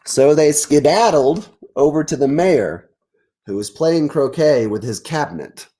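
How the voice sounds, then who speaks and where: A man's voice: fairly high pitch, lively intonation, unhurried, warm tone. A man, an author, reading aloud and explaining words informally to young listeners at a children's story time. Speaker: author reading own book.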